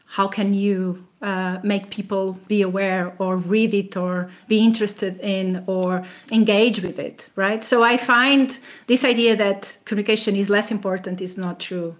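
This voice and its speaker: A woman's voice: medium pitch, fairly even intonation, unhurried, few pauses. A woman academic being interviewed, explaining in a conversational way with rhetorical questions and frequent "you know" asides.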